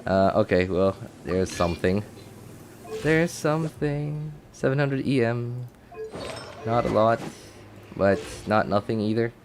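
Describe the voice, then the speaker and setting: A man giving casual, chatty live gameplay commentary as a streamer, thinking out loud and reacting as he goes. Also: mid-range voice, slow pace, long pauses, background noise. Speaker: game streamer.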